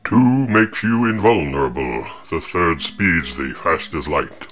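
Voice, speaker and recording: Man voicing a very deep, booming crafty genie, theatrical storybook delivery, faint music under it. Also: animated, takes it slowly, fairly bright tone, full character voice. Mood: sly, grand. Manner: grand, theatrical, old-fashioned speech. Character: boastful scheming male genie, grand and crafty.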